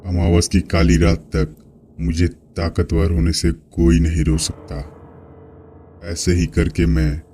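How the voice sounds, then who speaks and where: A man voicing a menacing, gloating female witch who drinks blood, in a horror cartoon, speaking in slow threats. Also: low-pitched, completely flat delivery, mellow, a little hiss or hum. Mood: gloating menace. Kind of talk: Hindi horror cartoon voice acting.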